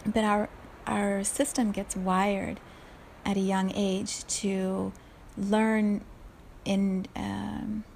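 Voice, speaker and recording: A woman in her forties talks slowly and thoughtfully, and her voice has a gravelly edge. Her pitch stays fairly flat and level, and a faint hiss sits under the recording. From South Africa. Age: 40s. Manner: unhurried, thoughtful, laughs softly at herself. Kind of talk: reflective solo talk.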